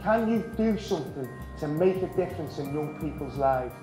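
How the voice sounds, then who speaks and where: Man, conference speaker, motivational delivery, roomy hall, clear background music. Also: on the high side, expressive, normal speed.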